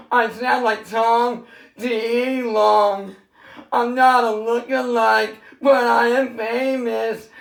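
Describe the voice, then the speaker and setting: A man from San Diego with a rough, worn voice, rambling through a half-sung freestyle and chatty, swearing talk at home. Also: very high voice, echoey room. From San Diego, California. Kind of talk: improvised singing and casual vlog talk.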